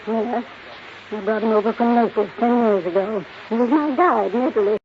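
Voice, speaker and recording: A woman's voice: on the high side, moderate pace, natural voice. An actress playing a blind, wealthy old woman in a radio drama, blunt, demanding and cantankerous. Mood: crotchety. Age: older. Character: blind, crotchety, bossy old wealthy matriarch. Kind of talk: 1950s radio drama acting. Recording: noisy, muffled old 1950s radio recording.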